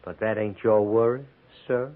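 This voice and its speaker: Low-voiced sly prisoner played by a man. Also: steady tone, normal speed, close to an everyday voice. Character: tall, sly, brooding prisoner recruit. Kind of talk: 1950s radio western acting. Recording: tinny 1950s radio broadcast.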